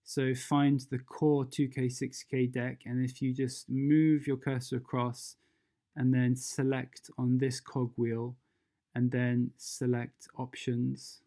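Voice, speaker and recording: A presenter narrating a casual step-by-step software tutorial, informal and instructional, thinking aloud along the way. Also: mid-range voice, level tone, slow pace.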